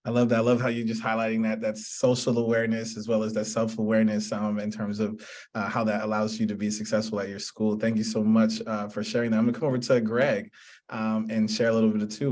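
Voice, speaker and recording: A man's voice: fairly low pitch, level tone, fast talker, a little mellow. A man hosting a student panel, speaking unscripted and thanking and affirming each speaker. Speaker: panel host and moderator.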